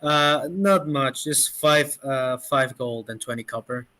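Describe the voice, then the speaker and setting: A man's voice: mid-range voice, takes it slowly, sharp tone. A man running a tabletop game as game master, describing scenes with lots of hesitations. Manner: hesitant, full of fillers and restarts. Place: home setup on a livestream.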